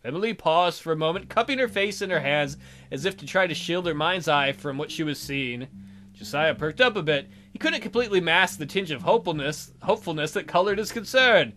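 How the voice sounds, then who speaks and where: A man's voice: a little high, lively intonation, brisk, sharp tone. A man narrating a let's play of a visual novel, reading aloud with playful, irreverent asides. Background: faint guitar music.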